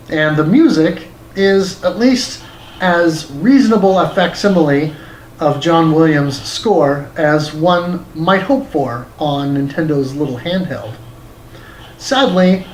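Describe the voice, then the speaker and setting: A man's voice: fairly high pitch, slight background noise, poor audio. A man reading a scripted video game review as its narrator.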